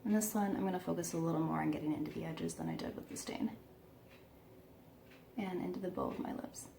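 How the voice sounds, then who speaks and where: Woman beauty vlogger with a croaky, gravelly voice, walking through her makeup with self-mocking asides. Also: lowish voice, fairly quick, warm tone, a little hiss or hum. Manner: chatty, self-deprecating, laughs at herself. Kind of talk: casual makeup tutorial.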